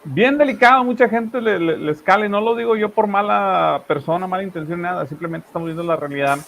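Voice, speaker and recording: A man's voice: high voice, fast, vocal fry, a little hiss or hum. A man from Monterrey with a marked northern Mexican accent, co-hosting political news commentary in an emphatic, rambling way. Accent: Monterrey Mexican Spanish. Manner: animated, emphatic, talks in long run-on asides.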